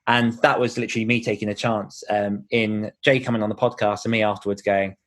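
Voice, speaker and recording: A man's voice: fairly low pitch, flat delivery, brisk. A British man talking in an informal, explanatory way as the guest in a conversational podcast interview.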